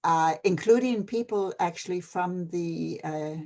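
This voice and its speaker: An older person from Canada, talking casually and hesitantly with many pauses and fillers. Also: mid-range voice, a little mellow. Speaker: retired NGO advisor. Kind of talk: casual video-call conversation.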